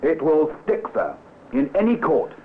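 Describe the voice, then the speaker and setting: An eager, dutiful police sergeant in a vintage radio play. The voice is fairly high and rises and falls a lot, always respectful. The sound is narrow and noisy. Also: male voice, lively intonation. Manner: brisk, respectful reporting. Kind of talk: old-time radio acting. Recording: tinny, noisy old radio recording.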